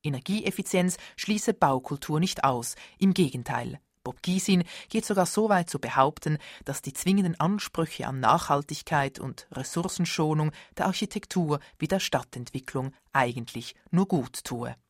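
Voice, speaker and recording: A radio feature narrator reading a formal script in a steady way. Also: medium pitch, moderate pace, few pauses, dry recording, studio quality.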